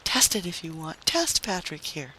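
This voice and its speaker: A man's voice: high-pitched, big pitch swings, normal speed, sharp tone, voice pushed up, exaggerated voice. A man voicing a fervent, confident wife with a ringing, insistent manner in a dramatic story reading. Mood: triumphant pleading. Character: fervent, confident young wife, defiantly hopeful. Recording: faint background noise.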